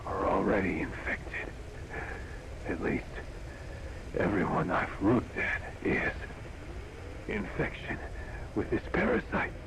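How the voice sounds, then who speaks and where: An actor playing an infected laboratory staffer in a game, desperate yet grimly resolute, halting and strained as he records a confession. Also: lowish voice, vocal fry, background noise, exaggerated voice. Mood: grim resolve.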